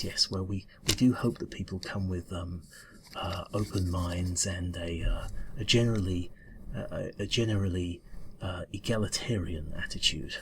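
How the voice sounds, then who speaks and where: A very low, creaky male cat bureaucrat played by a man mumbles through an ASMR roleplay interview. He is hesitant and politely officious, stammering and stalling like a slightly flustered official. Clear noise sits behind the voice. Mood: awkward, polite.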